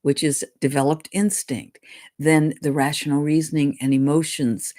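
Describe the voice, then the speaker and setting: A woman psychic giving her weekly message in a casual way, her voice deep and hoarse from congestion as she recovers from illness. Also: level tone, normal speed, mellow. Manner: chatty, apologetic, clears throat. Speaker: psychic channeling a spirit guide.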